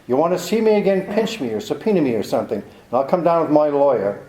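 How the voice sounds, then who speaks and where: An actor playing a tough, sardonic private detective who talks bluntly in 1940s radio style. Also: male voice, on the high side, very animated, quick pace, dark tone. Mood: hard, blunt. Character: tough hard-nosed male private detective. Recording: roomy echo.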